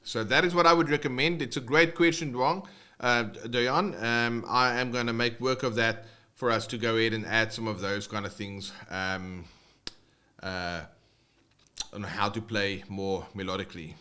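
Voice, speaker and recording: Bright-voiced South African man. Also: lowish voice, steady tone, moderate pace. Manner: loose and rambling, many fillers and restarts. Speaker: guitar teacher. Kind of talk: casual livestream teaching.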